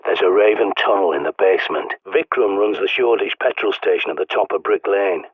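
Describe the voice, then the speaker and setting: An actor playing a gruff, bossy middle-aged survivalist in a video game, blunt and sardonic, heard through a radio filter. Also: male voice, low-pitched, brisk, no echo. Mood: grave, explanatory. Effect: radio transmission filter. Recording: tinny, band-limited radio with static.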